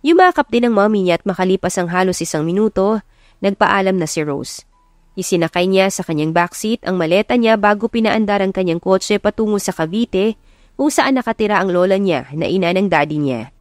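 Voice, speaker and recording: A woman's voice: medium pitch, animated, a little fast, dry recording, clean studio sound, soft background music. A woman with a Filipino accent reading scripted, dramatic story narration as an audiobook narrator. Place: close, dry recording with music behind.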